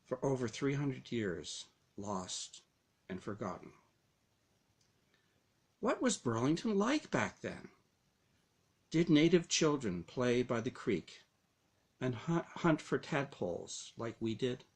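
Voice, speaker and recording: A man narrates a scripted first-person story at an unhurried pace. His mid-pitched voice moves widely up and down, and he shapes each phrase deliberately, with a dramatic storyteller's touch. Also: sing-song, husky. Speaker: author reading own story.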